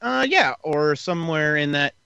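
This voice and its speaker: A man with a bright, forceful voice co-hosts a casual movie review chat. He reads out scores and quotes with halting fillers and stumbles. The sound is dry and close, with no room echo. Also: on the high side, lively intonation, creaky, clear, resonant tone, highs cut off. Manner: halting, many fillers when reading. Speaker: podcast co-host.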